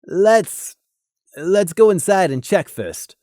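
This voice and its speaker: Woman voicing a stern, measured pony officer. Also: fairly low pitch, big pitch swings, dark tone, no echo, studio quality, voice pushed up. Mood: cautious. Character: stern dutiful pony officer, cautious leader. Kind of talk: fan fiction audiobook character voice.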